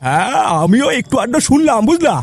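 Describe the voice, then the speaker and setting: Guy doing a nosy old village uncle, really high voice, chatty n quick, music behind. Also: very animated, noisy background, exaggerated voice. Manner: chatty, prying. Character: gossipy, nosy old village man in a cartoon. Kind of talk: comic cartoon dub, conversational.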